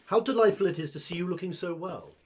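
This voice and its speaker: A man's voice: high voice, quick pace, background noise, lightly acted. A man playing an obsequious fungus creature who keeps shop through a host body, speaking in formal, over-polite patter in an audio drama. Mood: eagerly obliging.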